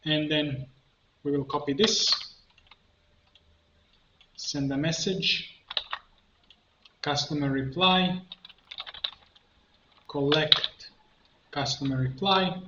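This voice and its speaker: A man giving an unscripted software tutorial, haltingly narrating each step as he works and thinking aloud. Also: a little high, steady tone. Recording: muffled lo-fi, roomy echo, background noise.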